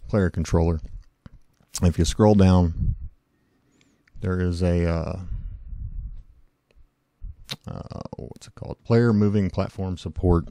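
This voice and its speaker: A hoarse man with a cold, casually walking through a software tutorial with lots of fillers and self-corrections. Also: sits low, fairly even intonation, slow pace, long pauses, no echo. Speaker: tutorial host. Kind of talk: screen-recorded software tutorial.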